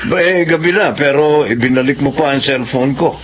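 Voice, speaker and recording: A man's voice: medium pitch, normal speed. A man playing a generous, hearty businessman in a radio drama. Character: generous wealthy businessman, Sir Zoilo. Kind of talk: 1970s-style radio drama acting. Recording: dull lo-fi radio recording with hiss.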